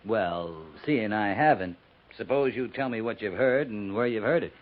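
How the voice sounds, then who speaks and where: An American actor playing a terse, probing cavalry captain in a 1950s western radio drama, with a dry, clipped manner. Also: male voice, on the low side, moderate pace, lightly acted. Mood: firm, questioning. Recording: noisy, tinny 1950s radio recording.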